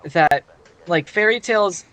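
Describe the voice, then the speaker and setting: A man chatting casually and improvising a story on a live stream, in a halting, rambling way with many restarts. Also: high voice, a crowd in the background, low-quality recording. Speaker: streamer telling a fairy tale while gaming.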